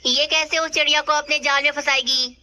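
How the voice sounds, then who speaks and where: Woman acting as greedy boastful female kite, very bright high voice, birdsong noise, cartoon. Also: steady tone, fairly quick. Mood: scheming. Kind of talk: Hindi children's cartoon dialogue. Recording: clearly noisy, birdsong ambience.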